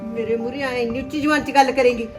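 A woman's voice: on the high side, fairly even intonation, quick pace, over loud music, natural voice. A woman playing a stern, scheming mother-in-law in a family drama, accusing and scornful.

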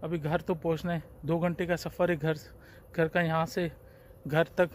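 A man's voice: a little high, normal speed. Man vlogging on a night platform. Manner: chatty, relaxed, talks to the camera. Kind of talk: casual vlog narration. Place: outdoor train platform at night. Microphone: phone at arm's length. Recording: faint outdoor background noise.

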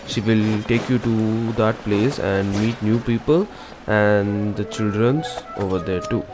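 Male gaming host, lowish pitch, informal step-by-step walkthrough delivery over prominent music. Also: unhurried. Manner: instructional, informal. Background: game music.

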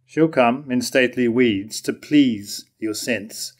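An older man reads a grave bearer of bad news in a Jacobean stage tragedy. His mid-pitched voice delivers the verse slowly and deliberately. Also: husky. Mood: grave, firm. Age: older, 60s. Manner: measured, deliberate. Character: grave messenger bearing news of the queen's death. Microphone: lectern microphone.

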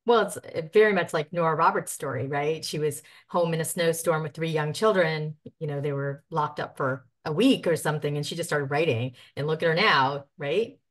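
A woman moderating a virtual author panel from a home office over a video call, speaking softly and encouragingly. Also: sits low, moderate pace, few pauses.

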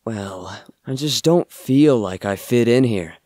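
A man playing a bookish young misfit and loyal son in an audio drama, earnest and expressive. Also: mid-range voice, slow, no echo, clean studio sound. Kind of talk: amateur audio drama acting.

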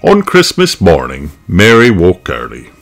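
A man's voice: low-pitched, wildly swooping pitch, a little slow, gravelly, a bit bright, soft background music. A man reading a children's storybook aloud as narrator. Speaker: storybook reader.

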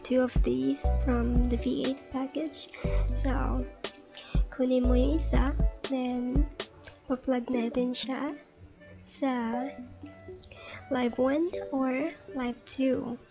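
A woman's voice: high-pitched, level tone, slow, creaky, long pauses. A woman with a Filipino accent giving a casual step-by-step tutorial, switching between two languages. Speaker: tech tutorial host. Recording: music playing behind the voice. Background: background music.

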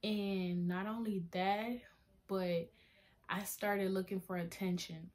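A woman's voice: mid-range voice, fairly even intonation, takes it slowly, a little mellow. A seventeen-year-old girl from Honduras with a Honduran Spanish accent, chatting to a webcam in her small bedroom and often restarting her sentences. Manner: chatty, restarting and laughing at herself. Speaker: vlogger sharing testimony. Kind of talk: casual talking-head vlog. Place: small bedroom with a flag on the wall. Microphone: webcam in front of her face.

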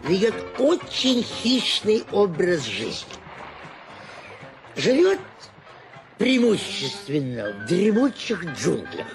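A boastful male tiger tamer in a radio drama. He lectures pompously and slowly, with lively pitch swings and halting improvisation. Music is clearly heard behind him. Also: heavily acted. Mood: boastful, bluffing. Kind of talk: Russian radio play acting.

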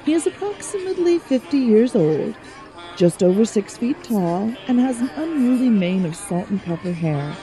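A woman narrating an audio drama in a measured storytelling manner. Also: a little high, big pitch swings, mellow, faint music behind.

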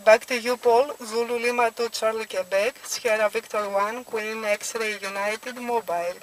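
A woman in her thirties with a Greek accent, talking as an amateur radio operator, halting as she searches for words. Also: fairly high pitch, steady tone, heavy background noise. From Greece. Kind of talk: ham radio contact, conversational. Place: inside a car at night.

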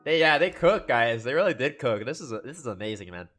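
A man's voice: medium pitch, sing-song, fast talker, a bit bright. A young man reacting live to a game as a streamer, chatting casually in gushing, slangy talk. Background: faint game music.

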